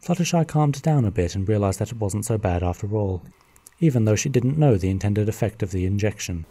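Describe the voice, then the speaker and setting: A man giving steady, scripted narration for a fan radio play. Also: fairly low pitch, a little fast.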